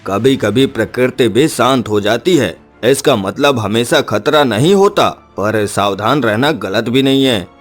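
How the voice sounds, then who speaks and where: A man's voice: medium pitch, a little slow, few pauses, faint music behind, lightly acted. A man playing a poor, protective woodcutter father, earnest and reassuring, in a cartoon story. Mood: cautious, calming.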